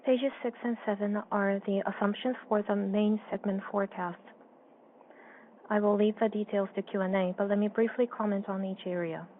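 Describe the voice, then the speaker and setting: Even-toned woman on a muffled business call. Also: mid-range voice, moderate pace. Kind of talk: formal financial results presentation, interpreted. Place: conference call line. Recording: dull, slightly noisy call audio.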